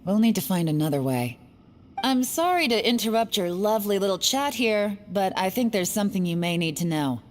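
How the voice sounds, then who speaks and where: A woman's voice: mid-range voice, big pitch swings, brisk, a little hiss or hum, natural voice. A woman voicing a stern, composed agent with a dry, faintly taunting manner in an anime video game. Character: cool, stern female agent guarding forbidden ground.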